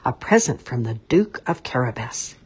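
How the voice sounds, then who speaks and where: A sly talking cat from a fairy tale, as the storyteller puts him on, bold and commanding with a crafty edge. The voice is breathy and husky, lifted a little above an ordinary middle register. He barks orders and flatters royalty in the same confident tone. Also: medium pitch, moderate pace. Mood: courtly. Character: clever scheming talking cat.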